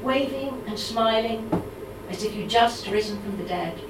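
A woman's voice: medium pitch, noisy background. Dublin-accented woman poet, distant and roomy. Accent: Dublin Irish. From Ireland. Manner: measured recitation, hesitant um-filled chat between poems. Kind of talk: live poetry reading with chatty asides. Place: live reading event in a room. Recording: roomy, distant mic, audible room ambience.

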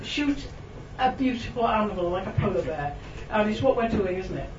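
A Welsh woman poet giving a reading to an audience, chatting between poems with ums and little laughs, then reading her verse slowly. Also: mid-range voice, animated. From Wales. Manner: chatty and self-mocking, with frequent ums and laughs; slow, lingering delivery when reading verse. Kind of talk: poetry reading with chatty asides. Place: room with a live audience. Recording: distant, roomy, dull recording with background noise.

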